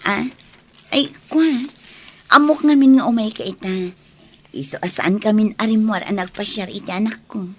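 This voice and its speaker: A woman's voice: mid-range voice, moderate pace, long pauses, a little hiss or hum, natural voice. A woman playing a tender but firm woman in love in an Ilocano radio drama, speaking earnestly and sentimentally. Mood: casual, evasive.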